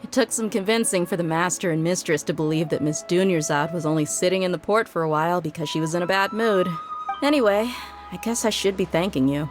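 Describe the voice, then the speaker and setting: An actress playing a tough young bodyguard in a video game, blunt and casual but good-hearted. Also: female voice, lowish voice, a little fast, natural voice. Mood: apologetic, grateful. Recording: music behind the voice.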